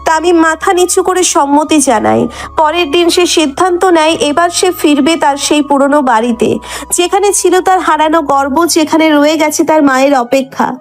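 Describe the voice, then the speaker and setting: A woman's voice: high voice, normal speed. A woman narrator reading a scripted dramatic story. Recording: background music under the voice.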